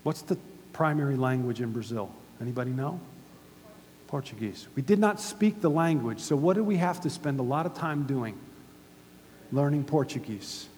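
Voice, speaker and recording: A man's voice: mid-range voice, animated, moderate pace, darkish tone. A man in his fifties from the United States preaching a sermon, emphatic and putting questions to the audience. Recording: hall reverb with faint hum.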